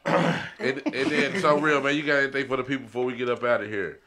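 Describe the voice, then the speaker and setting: A man's voice: mid-range voice, steady tone, fast, bright, forceful tone. A man hosting a casual podcast and signing off in an informal way, often checking in with conversational tag phrases. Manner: repeats conversational tags like "you know what I'm saying". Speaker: podcast host.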